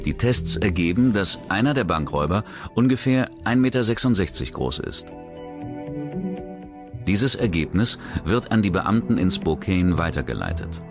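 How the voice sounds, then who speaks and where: Deep-voiced man narrating over music. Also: animated, normal speed. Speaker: documentary narrator. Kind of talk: scripted documentary narration.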